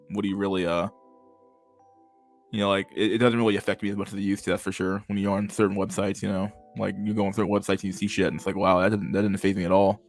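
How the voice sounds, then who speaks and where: A man in his twenties with a stammer and a lisp, chatting casually to his livestream chat, halting and restarting words and swearing freely. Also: sits low. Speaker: livestream host reading chat.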